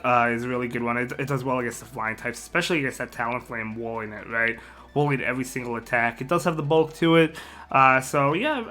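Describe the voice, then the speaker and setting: A sick man with a hoarse voice hosting a casual game strategy video, rambling with lots of fillers and repeated words. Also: mid-range voice, bright, forceful tone. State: sick, partly lost voice. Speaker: gaming channel host.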